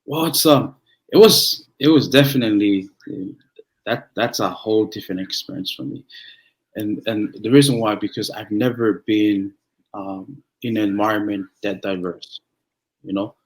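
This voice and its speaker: A young man from Tonga, whose first language is Tongan, speaking as a pastor in a relaxed interview with frequent restarts and fillers. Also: lowish voice, rough recording. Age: young adult. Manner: halting, many restarts and fillers. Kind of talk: conversational interview.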